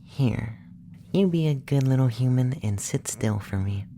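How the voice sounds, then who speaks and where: A soft-spoken alien abductor, acted by a man, curious and condescending, murmurs slowly in a close ASMR roleplay. The voice is gravelly and half-whispered, with musing pauses. Clear noisy ambience sits behind it. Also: mid-range voice, lively intonation. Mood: curious, teasing.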